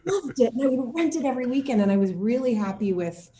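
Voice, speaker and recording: A woman's voice: fairly high pitch, lively intonation, fast talker, dark tone. A woman chatting casually as an interviewed actor, bubbly and giggly, with playful slang, recorded in a room at home. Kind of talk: casual chat in a video interview. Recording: echoey room.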